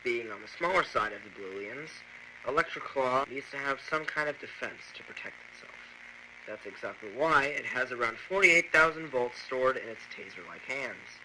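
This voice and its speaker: A man's voice: medium pitch, expressive, normal speed, noisy background, close to an everyday voice. A man playing a chatty lab doctor who reads out instructional files in an informal, slightly fussy way for an amateur horror series. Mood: matter-of-fact. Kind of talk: scripted instructional narration for amateur analog horror.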